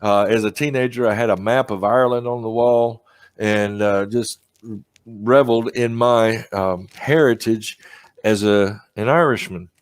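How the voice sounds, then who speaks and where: A man's voice: lowish voice, steady tone, unhurried, smooth, dry recording. Middle-aged male host, casual and chatty, laughs a lot, dry close mic. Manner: chatty, laughs often at his own stories. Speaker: podcast host. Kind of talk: casual chat.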